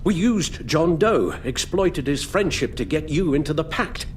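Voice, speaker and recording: Older butler, video game drama. Weary, earnest, formal, very expressive in pitch, guilt in every phrase. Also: male voice, a little slow, a little hiss or hum. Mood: troubled, earnest. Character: weary elderly male butler, guilt-ridden, grave, fatherly.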